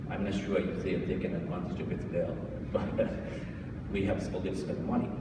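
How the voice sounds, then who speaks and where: A man with a Japanese accent, speaking informally to an audience at a book launch, halting with frequent restarts and little chuckles. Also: deep voice, flat delivery, very noisy. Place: large indoor event hall. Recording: echoey hall, from far off.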